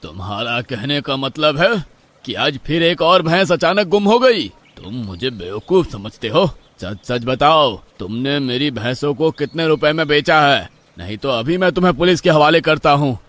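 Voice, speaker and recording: A man's voice: a little high, animated, moderate pace, fairly bright tone. A man playing a suspicious rich village master, scolding and domineering, in a horror story. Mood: accusing, angry. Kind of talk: Hindi horror story character dialogue.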